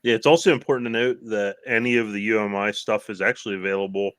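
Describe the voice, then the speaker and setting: Male guest, bright edge, lowish pitch, dry close mic, easygoing with deadpan jokes. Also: quick pace, no echo. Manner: dry humor, relaxed, hesitant fillers. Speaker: guest from a parts company. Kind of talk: casual video-call chat.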